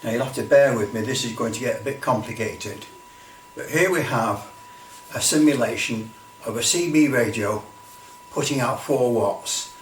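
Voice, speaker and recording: A man's voice: mid-range voice, normal speed, a little hiss or hum. A man giving an informal step-by-step demonstration, explaining as he goes and often repeating himself. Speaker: presenter of a hobby demonstration. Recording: roomy echo, distant microphone.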